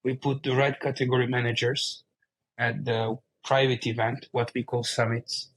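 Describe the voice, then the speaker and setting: Turkish-accented man speaking in a flat, even tone. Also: mid-range voice, moderate pace, rough recording. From Turkey. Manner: explains plainly in non-native English. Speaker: guest, company CEO. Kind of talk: casual interview conversation.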